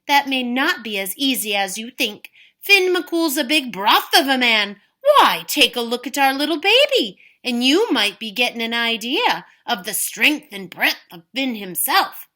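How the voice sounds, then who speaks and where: A woman's voice: a little high, sing-song, measured pace, hardly any pauses, pushed and bright, voice pushed up, heavily acted. A woman voicing a clever giant's wife who coaxes and sweet-talks with a sugary smile. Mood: sweetly scheming. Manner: sugary, coaxing.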